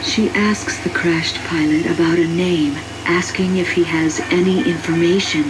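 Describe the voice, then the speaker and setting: Low-voiced somber woman narrating through war, acted. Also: steady tone, moderate pace, background noise, natural voice. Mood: somber, reflective. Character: somber war-weary woman narrating her wartime journey. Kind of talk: reflective scripted narration. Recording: loud music behind the voice. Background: music.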